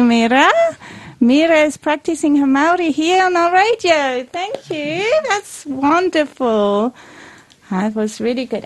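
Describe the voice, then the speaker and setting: A woman hosting a community radio show, chatty and encouraging as she interviews guests. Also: high voice. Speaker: radio host. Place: radio studio.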